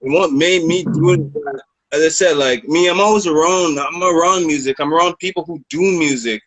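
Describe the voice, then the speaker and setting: A man's voice: on the high side, moderate pace, a bit bright, no echo, rough recording. A man in his thirties from Canada, a rapper guest telling his story in a laid-back, rambling way. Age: 30s. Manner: laid-back, rambling, repeats you know what I mean. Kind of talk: casual interview storytelling.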